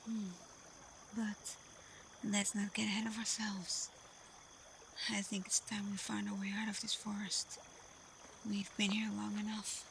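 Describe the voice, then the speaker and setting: Casting: actress for female werewolf pack leader, ASMR roleplay; unhurried, hushed, assured, warmly teasing and protective, mid-pitched. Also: monotone, a little hiss or hum. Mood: teasing, intimate. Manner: soft, breathy, often whispered, unhurried. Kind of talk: slow ASMR roleplay addressed to the listener.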